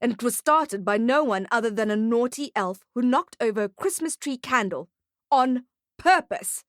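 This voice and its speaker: A woman's voice: fairly high pitch, expressive, normal speed, no echo, clean studio sound, close to an everyday voice. A woman voicing an indignant male boss with emphatic, colourful exclamations in an audiobook story. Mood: dark indignation.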